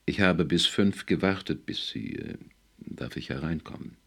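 A man's voice: sits low, a little slow, vocal fry, exaggerated voice. A man voicing a distraught historian, a stern husband barely keeping his composure, in an audiobook story. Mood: subdued apology.

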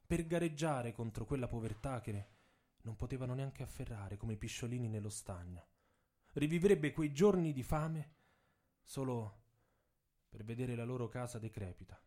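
Mellow-voiced man, solemn radio narrator. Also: mid-range voice. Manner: solemn, measured storytelling. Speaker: writer and narrator. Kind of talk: dramatic literary narration for radio theatre.

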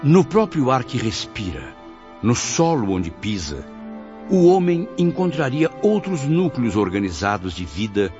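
An actor with a Brazilian accent playing a tormented dead man who narrates his afterlife in a radio drama, gravely and dramatically. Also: mid-range voice, big pitch swings, measured pace, darkish tone. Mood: solemn. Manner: grave, dramatic, literary delivery. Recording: muffled lo-fi recording, music behind.

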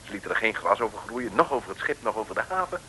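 A man's voice: on the low side, sing-song, racing. A man narrating a comic radio adventure serial as a wry, mock-solemn storyteller in a vintage scripted style. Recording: slightly hissy old radio recording.

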